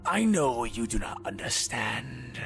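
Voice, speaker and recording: A man's voice: mid-range voice, sing-song, exaggerated voice. Man acting a whispering, menacing inquisitor. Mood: quietly menacing. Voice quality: whispery, breathy. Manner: slow, deliberate, ominous. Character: menacing, philosophical male inquisitor, cold and calculating. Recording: voice over prominent music.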